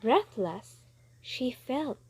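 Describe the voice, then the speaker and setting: Woman narrating a story, high and lively, slow, a little hiss. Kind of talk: storybook narration in reader's theatre.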